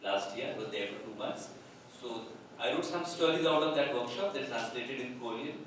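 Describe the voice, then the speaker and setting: A man's voice: mid-range voice, normal speed, fairly bright tone, recorded from a distance, slight background noise. A male author whose first language is Hindi, chatting and joking on a literature festival panel. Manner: chatty, jokes with the audience. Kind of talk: panel discussion. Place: large hall on a festival stage. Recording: echoey hall, mic from afar.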